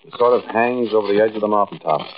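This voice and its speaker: Man acting a low-voiced, haunted American radio storyteller. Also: natural voice. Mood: hushed, deliberate. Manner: conversational, addresses listener directly, emphatic pauses. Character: intense, haunted man confiding an eerie tale. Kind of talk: 1940s radio drama monologue. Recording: muffled, noisy old radio recording.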